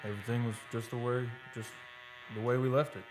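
Man playing haunted oilfield worker, halting folksy storyteller, suspenseful radio drama, music behind. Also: medium pitch, fairly even intonation, close to an everyday voice, rough recording. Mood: brooding, eerie. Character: haunted male oil driller recounting a terror. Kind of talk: live old-time radio drama monologue. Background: live dramatic music.

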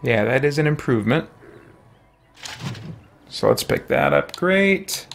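A man's voice: mid-range voice, very animated, slow pace, vocal fry, soft background music. A middle-aged man giving casual live gameplay commentary as a streamer, thinking aloud. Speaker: streamer, gaming host. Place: small indoor room with shelves.

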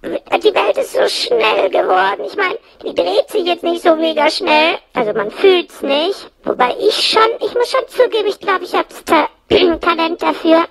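A woman voicing a chatty, self-pitying, cheeky plush monster in a comic audio play, with a strained voice and rambling, throat-clearing delivery. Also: very high voice, a little slow, hardly any pauses, heavily acted, rough recording. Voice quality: creaky, strained. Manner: rambling, throat-clearing, mock-earnest asides. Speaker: host.

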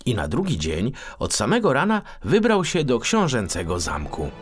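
A man narrating a children's fairy tale as an expressive audiobook storyteller. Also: mid-range voice, normal speed, slight background noise.

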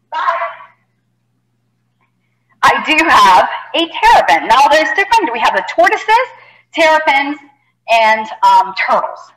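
A middle-aged woman from Costa Rica, a zoologist giving a live animal talk, chatty and animated, with many fillers and restarts, on a headset mic indoors. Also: high-pitched, echoey room, rough recording. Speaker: zoologist educator. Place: indoor animal facility room.

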